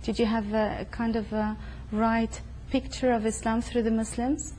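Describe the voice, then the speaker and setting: A woman's voice: a little high, flat delivery, moderate pace, warm tone, a little hiss or hum. A woman hosting an interview show, putting formal, welcoming questions to a guest at her home. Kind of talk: hosted interview.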